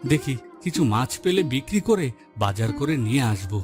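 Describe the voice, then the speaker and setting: A male actor voices a humble fisherman and family man in a moral-story cartoon. His voice sits at a middle pitch and he speaks in a plain, reassuring, homely manner. Music plays prominently behind him. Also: big pitch swings, brisk, natural voice. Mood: mildly defensive. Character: humble, hardworking poor village fisherman, a husband and father. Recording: loud music bed behind the voice.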